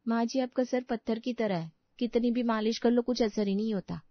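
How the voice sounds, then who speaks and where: A woman's voice: a little high, a little fast, dry recording, muffled recording, lightly acted. A woman voicing an outspoken young daughter-in-law, teasing and sarcastic, in a cartoon story. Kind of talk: cartoon moral story voice acting.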